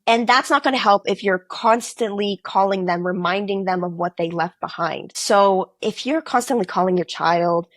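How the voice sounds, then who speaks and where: Teen girl guest, lively storyteller, mid-pitch, dry close sound. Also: normal speed, few pauses, no echo. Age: teens. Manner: animated, storytelling with quoted voices. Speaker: exchange student guest. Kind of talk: casual interview conversation.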